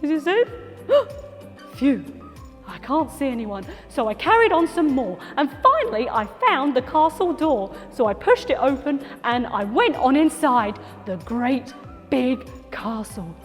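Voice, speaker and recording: A cheeky, wide-eyed young boy, as a woman puts him on, tells his story in a high voice that leaps all over. He gasps and sings his words out slowly. The voice rings with a long reverb over faint music. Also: sing-song. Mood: excited. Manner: gasping, breathless, sing-song. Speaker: storyteller actor. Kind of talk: theatrical children's storytelling.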